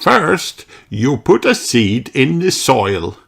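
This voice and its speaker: Kindly old grandpa pig played by a male reader, patient and lilting, slow teaching delivery for a storybook. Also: medium pitch, sing-song, vocal fry, fairly bright tone, breathy edge, pitched above the performer's own voice, heavily acted. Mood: kindly, instructive. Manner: patient, instructive. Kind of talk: children's storybook character voice.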